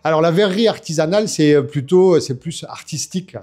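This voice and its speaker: Hesitant fifty-something man with a mellow voice. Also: a little high, fairly quick. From France. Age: 50s. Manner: hesitant, many fillers and restarts. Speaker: guest. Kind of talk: casual interview storytelling.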